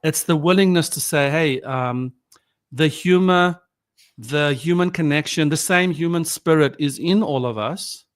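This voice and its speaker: A man's voice: a little high, clean tone. Reflective South African man, native Afrikaans speaker. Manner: reflective, halting with frequent restarts. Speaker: podcast guest. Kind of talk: casual conversation.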